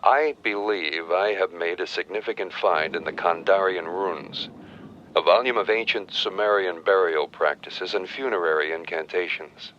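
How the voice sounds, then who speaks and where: An actor playing a grave, scholarly archaeologist haunted by demons, speaking solemnly in a horror film's recorded journal. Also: male voice, deep voice, a bit bright, muffled recording. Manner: solemn, measured, formal scholarly diction. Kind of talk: scripted horror film recorded-journal narration. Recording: noisy old reel-to-reel tape playback.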